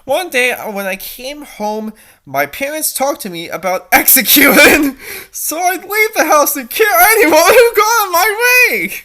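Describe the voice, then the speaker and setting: An edgy teenage cartoon cat princess acted out by a woman reading fanfiction, self-important and overdramatic. The voice is high and swings up and down with mock-serious drama. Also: expressive, a little slow, pushed and bright, heavily acted. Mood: self-important, dramatic. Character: melodramatic, edgy fourteen-year-old cartoon cat princess and dictator-to-be.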